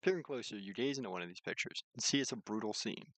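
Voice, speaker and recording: A low-voiced man streams a visual novel, recorded close and dry. He reads the narration aloud and slips into casual commentary, occasionally tripping over a written line and starting it again. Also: lively intonation, fast, a bit bright, dry recording, rough recording. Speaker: video game streamer.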